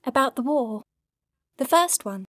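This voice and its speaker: A woman's voice: high-pitched, expressive, a little slow, a bit bright, dry recording, studio quality, full character voice. An actress playing a narrator in an audio drama, a woman who prods and challenges with pointed interjections. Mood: informative.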